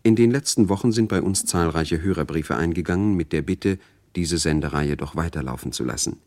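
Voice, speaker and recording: Very deep, formal male radio announcer. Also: brisk, a little mellow, no echo, clean studio sound.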